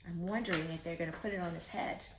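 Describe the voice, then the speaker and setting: A woman reading a picture book aloud for preschoolers, expressive and speaking directly to her young listeners. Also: fairly low pitch, quick pace, background noise. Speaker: children's story reader.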